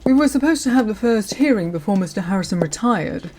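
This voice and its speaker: There is faint noise behind the voice. An actress plays a senior female lawyer in an audio roleplay, courteous and professional. Her delivery is measured, at a medium pitch, as she addresses a newcomer. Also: animated, dark tone, close to an everyday voice. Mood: polite, businesslike.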